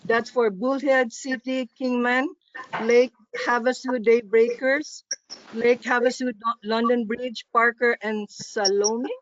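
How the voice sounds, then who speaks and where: A woman with a Filipino accent reading a poem and then a list of names at a club meeting, careful and slow, with small self-corrections. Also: fairly high pitch, flat delivery, highs cut off, a little hiss or hum. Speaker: club officer presenting at a district meeting.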